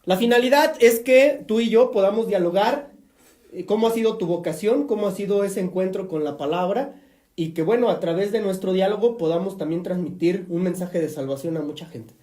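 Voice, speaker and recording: A Mexican priest hosts a live-streamed faith program, talking at length in a chatty, preaching way with joking asides. His voice is mellow and dark. The sound bounces around an echoey room. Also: high voice, a little fast. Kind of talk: live-streamed religious talk show.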